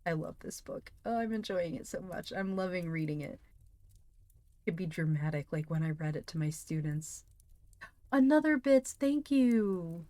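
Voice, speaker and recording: Low-voiced, expressive woman reading aloud. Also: measured pace, no echo. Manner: expressive, enthusiastic. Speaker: streamer reading books aloud; teacher. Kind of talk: expressive audiobook-style reading with casual livestream chat.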